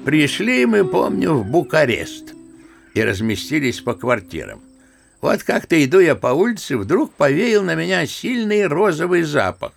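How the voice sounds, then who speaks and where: A genial old general, gravelly, very lively swings in pitch, radio drama w music behind. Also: male voice. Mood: amused, animated. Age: elderly. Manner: sighing, chuckling, unhurried storyteller with old-fashioned turns. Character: genial old Russian general reminiscing to his granddaughters. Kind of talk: radio play acting.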